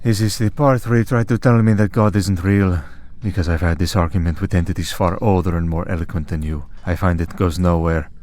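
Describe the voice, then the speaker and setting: A man playing a centuries-old vampire priest in an audio drama, stoic and drily witty, with measured, unruffled delivery. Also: sits low, steady tone, darkish tone, close to an everyday voice. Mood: dry, weary. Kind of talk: scripted audio roleplay drama.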